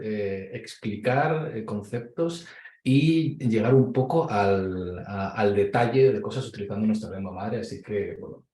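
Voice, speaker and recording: A man with a Spain Spanish accent giving an online conference presentation, measured and explanatory, with frequent hesitations over a video call. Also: lowish voice, warm tone. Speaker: conference speaker. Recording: echoey room.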